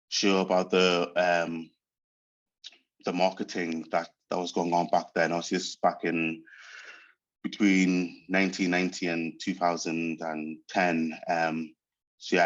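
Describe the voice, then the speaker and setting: A man reflects on his path as an actor, pausing often to search for words. He grew up speaking Portuguese first. The sound is dull and muffled. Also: low-pitched. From Angola. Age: 30s. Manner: thoughtful, hesitant, searching for words. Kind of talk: reflective interview monologue.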